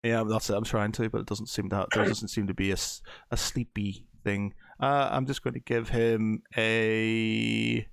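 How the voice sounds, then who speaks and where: A man's voice: on the low side, flat delivery, normal speed, gravelly, hardly any pauses, smooth, no room sound, studio quality. A man running a tabletop game as game master, narrating casually in hesitant, improvised phrases.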